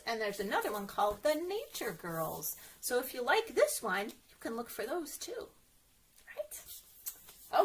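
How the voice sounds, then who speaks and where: A woman's voice: on the high side, fairly bright tone. A woman leading an interactive story time as a children's librarian, encouraging and sing-song, often putting questions straight to young listeners.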